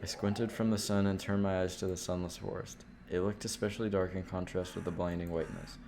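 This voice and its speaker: A man's voice: deep voice, flat delivery, brisk, vocal fry, background noise. A man streaming a visual novel, reading its horror narration aloud expressively and dropping in casual asides. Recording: loud music under the voice. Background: game music.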